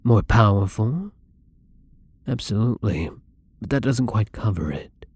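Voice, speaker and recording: Casting: male actor for a timid, courteous new vampire in ASMR roleplay; very breathy, dark-toned, unhurried, halting. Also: on the low side, lively intonation. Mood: earnest, wondering. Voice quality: breathy, often slipping into whispers. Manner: hesitant, halting, polite, soft-spoken. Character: shy, gentle, newly turned male vampire, apologetic and hungry. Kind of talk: intimate ASMR roleplay acting.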